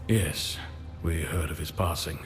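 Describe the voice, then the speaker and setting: An actor playing a dignified, cautious middle-aged governor in a fantasy game, speaking in a formal, measured, commanding way. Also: male voice, extremely low pitch. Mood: solemn. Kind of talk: dramatic fantasy game acting. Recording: roomy long reverb, music behind.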